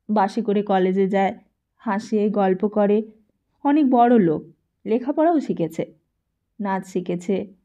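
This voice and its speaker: A meek, sympathetic teenage boy in an audiobook, voiced by a woman. He is gentle, hesitant and a little defensive, with a mellow tone and slightly muffled sound. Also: mid-range voice, lightly acted.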